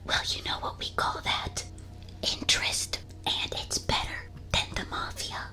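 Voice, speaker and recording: A woman streamer whispering playfully and with mock solemnity into a close ASMR microphone as she reads out and reacts to confessions. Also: measured pace.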